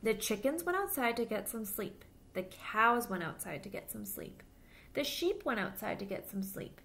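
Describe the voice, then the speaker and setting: A woman in her thirties reading a children's picture book aloud, with simple, deliberate phrasing for young listeners. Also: mid-range voice, big pitch swings, gravelly, a bit bright, a little hiss or hum. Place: small plain-walled room indoors.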